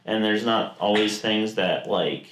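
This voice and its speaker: A man's voice: fairly low pitch, unhurried, a bit bright, reverberant, slight background noise, rough recording. A man co-hosting a podcast in loose casual chat, heavy on fillers, acting out quoted exchanges. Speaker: podcast co-host.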